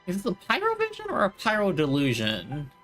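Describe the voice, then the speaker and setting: A woman's voice: mid-range voice, huge pitch swings, fairly quick, a bit bright. A woman live-streaming a game, giving casual commentary and musing aloud. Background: game music.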